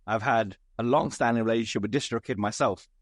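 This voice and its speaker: A man hosting an interview, his voice hoarse and raspy, asking structured, articulate questions. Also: medium pitch, quick pace, no room sound, studio quality. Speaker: podcast host. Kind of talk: interview, conversational.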